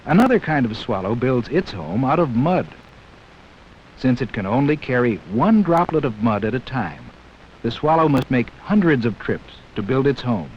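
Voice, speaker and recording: A dull, faintly hissy 1960s film soundtrack carries a man's narration. His pitch is mid-range and moves in lively swings. He explains things to young viewers in a measured, scripted educational style.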